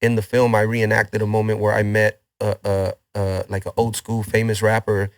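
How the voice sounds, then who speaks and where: Laid-back rapper from LA. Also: male voice, lowish voice, completely flat delivery, moderate pace, dry recording, studio quality. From Los Angeles, Boyle Heights. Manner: laid-back street slang, many restarts. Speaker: rapper guest. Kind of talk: casual interview chat.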